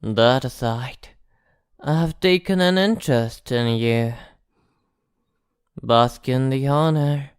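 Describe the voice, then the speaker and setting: A woman playing an arrogant, possessive vampire captor in an ASMR roleplay, haughty, teasing and softly threatening. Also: a bass voice, takes it slowly, long pauses, clean tone, no echo, clean studio sound. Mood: smug, commanding.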